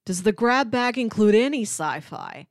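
A woman's voice: a little high, lively intonation, normal speed, dry recording. Woman podcaster, dry close sound. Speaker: podcast co-host. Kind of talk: casual movie podcast chat.

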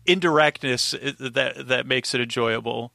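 Bright-voiced man chatting as podcast guest. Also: mid-range voice, gravelly. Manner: rambling, halting, loose. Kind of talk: casual podcast chat.

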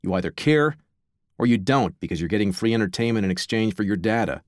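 Man narrating an audiobook, lively theatrical read. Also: on the low side, dry recording. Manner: measured, theatrical reading with asides. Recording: dry studio audiobook recording.

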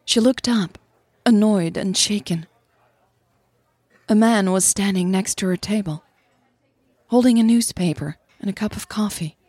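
A woman narrates an audio drama in a husky, slightly breathy voice. The recording is very dry, with no room around her words. She reads as a scripted storyteller. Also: mid-range voice, unhurried, pauses often, darkish tone, no room sound.

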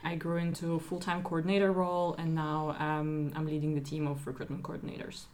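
A woman's voice: sits low, little pitch movement, a little fast, darkish tone. A woman from Ukraine, a recruiting team lead answering interview questions earnestly, with some fillers.

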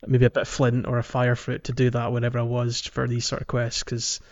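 A man's voice: medium pitch, completely flat delivery, fairly quick, darkish tone. Man explaining on a muffled podcast. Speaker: podcast co-host. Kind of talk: casual gaming podcast chat.

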